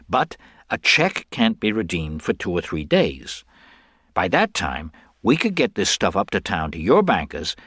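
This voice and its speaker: A man's voice: on the low side, fairly bright tone, husky, no room sound, clean studio sound, full character voice. A man voicing a brash, slick con man who talks in slangy, pushy bursts in a storybook reading. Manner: slangy, fast-talking, pushy.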